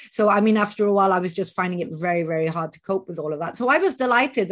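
A woman in her fifties hosting an interview podcast, talking in an animated way and often restarting her sentences. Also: medium pitch. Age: 50s. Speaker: podcast host. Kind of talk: conversational interview.

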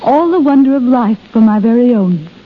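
A woman's voice: fairly high pitch, lively intonation, moderate pace. Old radio drama, a tender lonely young woman, breathless and earnest, very tinny narrow sound. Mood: wistful, brave. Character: lonely, tender expectant young woman, brave but fragile. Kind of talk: 1930s radio drama acting. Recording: thin, phone-like 1930s radio recording.

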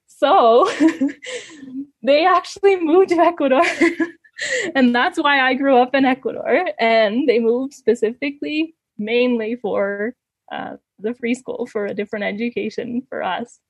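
A woman's voice: fairly high pitch, a little slow, no room sound, studio quality. A woman in her thirties from Canada, chatting as an interview guest, laughing often between fillers and restarts. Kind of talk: casual conversational storytelling.

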